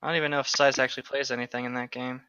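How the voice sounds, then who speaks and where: A man's voice: mid-range voice, level tone, very fast, pushed and bright, clear, resonant tone, no room sound. A man co-hosting a podcast with joking, teasing, slangy banter on a dull lo-fi microphone. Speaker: podcast co-host. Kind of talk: casual banter.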